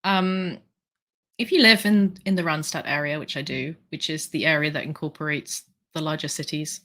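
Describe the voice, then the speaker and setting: A low-pitched woman from the UK with a slightly sore throat, hosting a relaxed livestream. She rambles warmly through viewer comments, full of ums and asides. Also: normal speed. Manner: chatty, rambling with fillers. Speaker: livestream host. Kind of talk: casual livestream chat reading comments.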